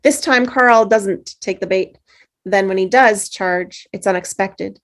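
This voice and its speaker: A woman reading the stage directions at a script table read, steady with small slips. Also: mid-range voice, unhurried.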